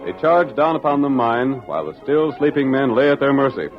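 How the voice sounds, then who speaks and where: A man's voice: mid-range voice, big pitch swings, fairly quick. A man narrating a western adventure in dramatic, scripted 1940s radio style. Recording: muffled old radio recording. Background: music.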